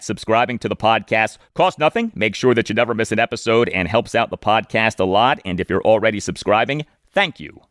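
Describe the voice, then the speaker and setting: A man's voice: fairly low pitch, a bit bright, dry recording, studio quality. A man hosting a podcast, moving between commentary and sponsor reads. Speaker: podcast host.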